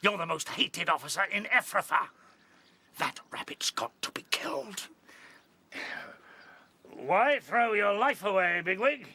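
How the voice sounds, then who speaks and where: A man's voice: high-pitched, animated, a little slow, long pauses, pushed and bright, full character voice. A man playing a ruthless, domineering rabbit general in a radio drama, brusque and commanding. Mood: menacing, angry.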